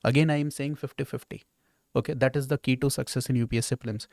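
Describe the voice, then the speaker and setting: A man's voice: mid-range voice, fairly even intonation, fast talker, creaky, a little mellow, no echo, clean studio sound. A man with an Indian accent, an exam educator talking through questions conversationally and didactically, often checking in with okay or right.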